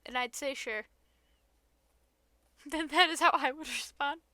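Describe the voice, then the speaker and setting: A woman podcast co-host with a notably high voice and a close, dry sound. She chats casually and leans into playful, mock-dramatic delivery. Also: unhurried, sharp tone, no room sound. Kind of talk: casual banter.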